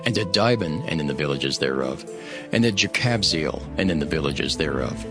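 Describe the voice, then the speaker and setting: A man narrating scripture in a solemn, measured, scripted style, as in a dramatised audio Bible. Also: a bass voice, lively intonation. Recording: music playing behind the voice. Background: background music.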